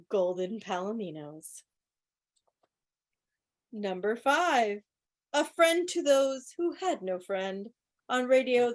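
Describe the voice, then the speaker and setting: A woman hosting a quiz in a casual, chatty style, with wry joking asides, speaking on an online video meeting. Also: fairly high pitch. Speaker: quiz host. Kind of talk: casual live trivia commentary.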